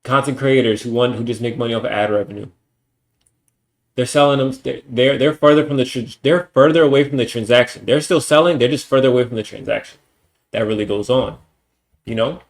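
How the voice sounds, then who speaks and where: A man's voice: medium pitch, a little fast. A young man streaming alone, ranting bluntly and with lots of profanity in a casual monologue. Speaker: livestreamer.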